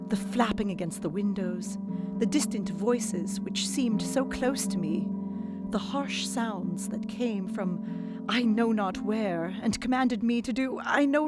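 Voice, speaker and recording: An actress voicing a young woman who reads her diary aloud in a gentle, measured way, in a radio play. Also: medium pitch, close to an everyday voice. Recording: music playing underneath.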